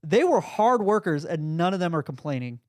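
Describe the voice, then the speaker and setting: A man's voice: a little high, sing-song, moderate pace, darkish tone, dry recording. A man co-hosting a podcast in casual, joking, slangy chat, often saying dude and bro. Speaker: podcast co-host.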